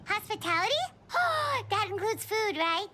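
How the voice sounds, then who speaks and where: A woman voicing a small, childlike, excitable female flying companion with a squeaky voice in a video game. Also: a little slow, over music, exaggerated voice. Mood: excited, eager. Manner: speaks of self in third person.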